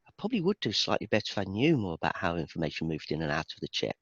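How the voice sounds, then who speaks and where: Low-pitched Birmingham man, dull lo-fi sound. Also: wildly swooping pitch, very fast, fairly bright tone, breathy edge, no echo. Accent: Birmingham English. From Birmingham, England. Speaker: interview guest, database performance expert. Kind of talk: casual interview chat.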